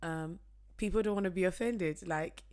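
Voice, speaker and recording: This is a woman with a notably low-pitched voice, co-hosting a casual podcast with her husband. She talks in a relaxed, chatty way and laughs easily. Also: normal speed. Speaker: podcast co-host. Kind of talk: casual chat.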